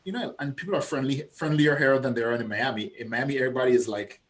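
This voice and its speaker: A man in his thirties from Saint Thomas with a Virgin Islands Caribbean accent, a guest chatting over a video call, relaxed and storytelling. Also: medium pitch, fast talker, crowd noise. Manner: storytelling, relaxed, laughs often. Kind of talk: casual video-call chat.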